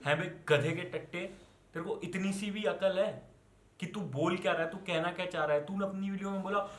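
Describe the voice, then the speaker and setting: High-pitched angry young Indian man, echoey room. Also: brisk, a bit bright. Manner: scolding, confrontational, swearing, rhetorical questions; switches between Hindi and English. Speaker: online commentator. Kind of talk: angry unscripted rant to viewers.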